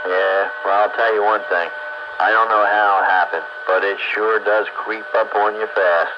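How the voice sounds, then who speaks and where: A man's voice: on the low side, level tone, moderate pace. A man of sixty-four chatting casually in a folksy, easygoing manner, as an amateur radio operator. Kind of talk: casual chat. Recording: muffled noisy radio audio.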